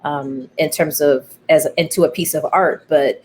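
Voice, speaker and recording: A woman playwright speaking as an interview guest, telling her story in a candid, thoughtful, halting way. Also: low voice, steady tone, darkish tone, a little hiss or hum. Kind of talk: reflective conversational storytelling.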